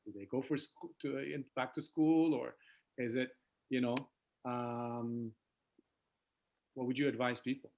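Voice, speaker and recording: A man's voice: mid-range voice, steady tone, a little slow, pauses often, darkish tone, a little hiss or hum, rough recording. A man moderating a webinar, asking questions with many restarts and fillers. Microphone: video call.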